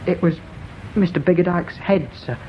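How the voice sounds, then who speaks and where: An actor playing a naive, deferential young English lad in a radio drama, speaking in an earnest, hesitant, respectful manner. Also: male voice, high-pitched, normal speed, muffled recording, slight background noise, natural voice. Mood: earnest recounting. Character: naive, deferential young lad giving eager witness testimony.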